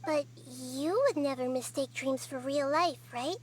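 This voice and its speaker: A woman voicing a small, wise young goddess who speaks softly and explains things in a measured way. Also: sits high, a little hiss or hum. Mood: calm. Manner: soft, measured, gently explaining. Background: game music.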